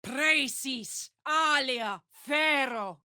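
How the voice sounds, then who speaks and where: A woman's voice: high voice, extremely slow, bright, forceful tone, no echo, clean studio sound, exaggerated voice. A woman voicing a brash, big-hearted fiery tiefling warrior in a video game, blunt, slangy and swaggering. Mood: battle cry. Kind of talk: video game character voice lines.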